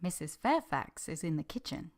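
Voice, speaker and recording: A woman's voice: low voice, big pitch swings, normal speed. A woman playing an earnest, tender former governess, acting and narrating her own story in a radio drama. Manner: earnest, gentle, heartfelt.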